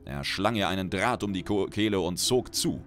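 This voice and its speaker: A man's voice: deep voice, expressive, normal speed. A man reading a fantasy audiobook as a dramatic scripted narration. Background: background music.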